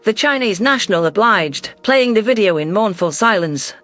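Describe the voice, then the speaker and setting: A man reads a science fiction audio story as its narrator. His voice sits very high for a man, and soft music plays underneath. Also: animated, moderate pace. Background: faint music.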